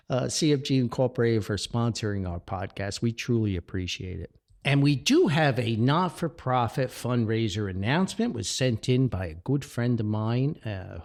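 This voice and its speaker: A man hosting a podcast in a casual, rambling monologue, folksy and effusive, often exclaiming and digressing. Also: medium pitch. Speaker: podcast host.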